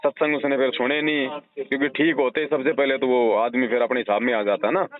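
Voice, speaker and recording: A man's voice: medium pitch, steady tone, fairly quick. A man giving earnest, explanatory religious advice to a devotee, using everyday analogies. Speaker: religious counsellor advising a devotee. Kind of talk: devotional advice on a phone call. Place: phone call. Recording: narrow, tinny phone-line recording.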